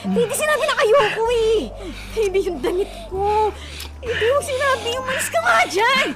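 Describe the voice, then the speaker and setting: A woman's voice: very high voice, lively intonation, normal speed, over music. An actress playing an affectionate, hurt young wife and mother in an emotional, pleading Filipino radio drama style. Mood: alarmed, pleading.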